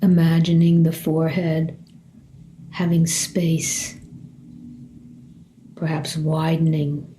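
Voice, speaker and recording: A woman meditation teacher leading a guided body scan in a gentle, unhurried way, leaving long pauses between short phrases. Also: low-pitched, monotone, a little hiss or hum, low-quality recording.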